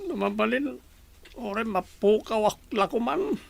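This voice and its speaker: A man's voice: high voice, animated, unhurried, gravelly, exaggerated voice. A man playing a heartbroken, despairing young man, speaking plaintively in an audio drama. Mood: sorrowful, bitter. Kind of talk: Filipino audio drama acting.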